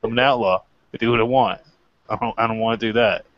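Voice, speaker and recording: A man's voice: fairly low pitch, steady tone, quick pace, no room sound. A man co-hosting a casual wrestling podcast, bantering in a blunt, sweary, self-deprecating way. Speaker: podcast co-host. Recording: dull lo-fi microphone sound.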